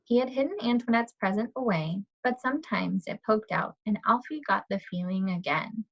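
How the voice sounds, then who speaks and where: Female read-aloud teacher, medium pitch, children's storybook narration, slightly muffled lo-fi sound. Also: moderate pace, vocal fry. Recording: dull lo-fi audio.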